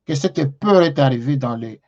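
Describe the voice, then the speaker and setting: Call-in host, halting and repetitive, on a dull lo-fi recording. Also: medium pitch, expressive, darkish tone. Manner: halting, repeats words, stretches key phrases. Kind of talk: reading and answering listener messages. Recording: slightly dull lo-fi sound.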